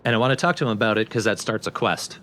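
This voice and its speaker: A man's voice: on the low side, expressive, racing, a bit bright, slight background noise. A man giving casual, explanatory gameplay commentary in an informal way. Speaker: let's play host and player.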